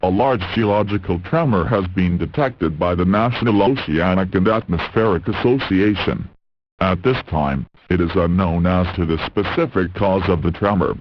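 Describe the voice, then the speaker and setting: A man reading a scripted emergency alert as an announcer, in a formal, measured manner. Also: low-pitched, no echo. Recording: muffled lo-fi radio broadcast sound.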